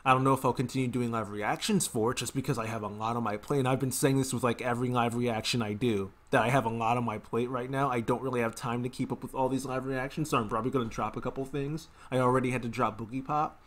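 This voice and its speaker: A man's voice: medium pitch, steady tone, fast talker, hardly any pauses, a bit bright. A man in his twenties giving casual reaction commentary as a video host, rambling and often circling back to rephrase. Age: 20s. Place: small indoor room at home.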